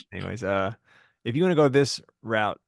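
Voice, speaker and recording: Low-voiced young man, dry close sound. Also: big pitch swings, measured pace, creaky, dry recording. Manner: easygoing, steers topics, asks questions. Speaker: podcast co-host. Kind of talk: casual chat.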